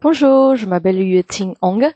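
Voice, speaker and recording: A young woman from Taiwan, Mandarin her first language, speaking French with a Taiwanese accent as an interview guest, pausing often and filling gaps with hesitations. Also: mid-range voice, warm tone, no room sound, lo-fi sound. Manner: hesitant, many pauses and fillers. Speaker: guest, actress and singer. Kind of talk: casual interview chat.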